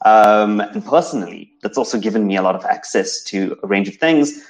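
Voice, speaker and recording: A lecturer from South Africa with a South African English accent, talking through slides in an academic seminar, hesitant with many fillers and restarts. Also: male voice, lowish voice, a little fast.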